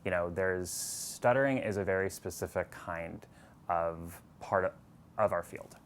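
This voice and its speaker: A man with a very deep voice speaks earnestly, now and then restarting a word. A faint hiss sits under his promotional interview. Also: unhurried.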